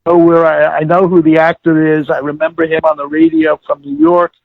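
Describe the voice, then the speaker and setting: An older man being interviewed, explaining and reminiscing in a deliberate, halting way with formal wording and self-corrections, in a gravelly, husky voice. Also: fairly high pitch, animated. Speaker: interviewee, former audio producer-director. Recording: tinny phone-like line with room echo.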